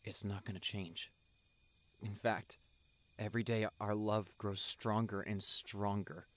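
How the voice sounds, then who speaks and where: A devoted young boyfriend played by a man in a romantic audio drama. He takes his time over every phrase. His delivery is soft, earnest and full of affection. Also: lowish voice, level tone, close to an everyday voice. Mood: tender, earnest. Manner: soft, tender, earnest, unhurried. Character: tender, devoted young doctor boyfriend proposing to partner.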